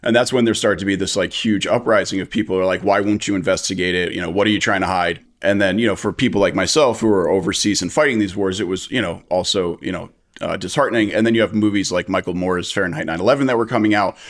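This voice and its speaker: A man's voice: low-pitched, fast. An American man, a veteran and interview guest, giving candid, blunt and passionate answers with frequent restarts. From United States.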